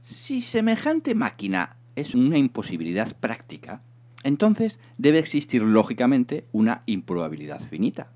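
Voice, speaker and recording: A man's voice: mid-range voice, huge pitch swings, moderate pace, a little mellow. A man with an accent from Spain, co-hosting a book show with readings and casual chat. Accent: Spanish from Spain. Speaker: show co-host and reader. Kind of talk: comic novel reading and casual sign-off chat.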